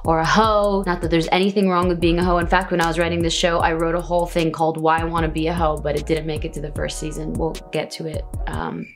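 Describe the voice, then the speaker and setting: A woman talks straight to camera in her video diary, frank and playful. Her voice sits a little low for a woman. Faint music plays underneath. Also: quick pace, hardly any pauses. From Saudi Arabia.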